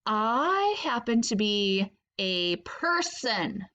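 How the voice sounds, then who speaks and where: Woman as an indignant young boy, bright voice. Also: mid-range voice, big pitch swings, extremely slow, highs cut off, pitched below the performer's own voice, full character voice. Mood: puzzled, annoyed. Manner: indignant, blunt.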